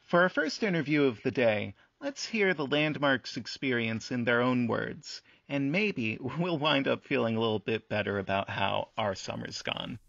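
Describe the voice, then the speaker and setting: An actor playing a calm, sympathetic radio host who interviews buildings, speaking in a measured, understated scripted style. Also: male voice, medium pitch, vocal fry, no room sound, dull audio. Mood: wry, measured.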